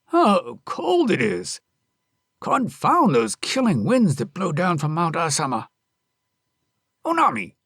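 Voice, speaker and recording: A storyteller voicing a brutal, greedy male highway robber in a bullying, shouting manner. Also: huge pitch swings, slow pace, long pauses, husky, dry recording, studio quality, heavily acted. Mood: angry bullying.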